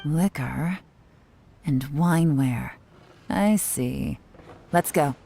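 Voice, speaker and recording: An actress playing a composed, shrewd female investigator in a video game, cool and smooth-talking. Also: sits low, extremely slow, mellow, exaggerated voice. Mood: commanding, probing. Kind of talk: video game character acting.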